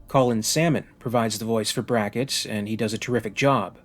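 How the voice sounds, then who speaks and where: A man hosting a video review, reading scripted narration in a measured, articulate and formally phrased way. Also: fairly low pitch, steady tone, faint music behind.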